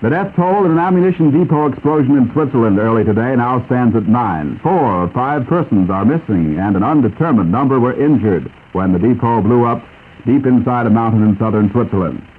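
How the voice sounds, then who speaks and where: A man's voice: medium pitch, animated, brisk, husky. A man with an American accent reading a scripted 1940s radio newscast. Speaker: radio news reporter. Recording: muffled 1940s acetate radio broadcast recording.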